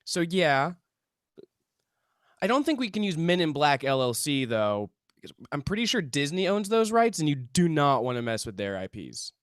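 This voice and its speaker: A self-serious male UFO researcher, acted at a script read, defensive and paranoid. The delivery stammers and swings widely in pitch, landing points with emphasis in a very dry recording. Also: big pitch swings, moderate pace, dry recording, lightly acted. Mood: earnest, self-important. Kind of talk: table read of a comedy script.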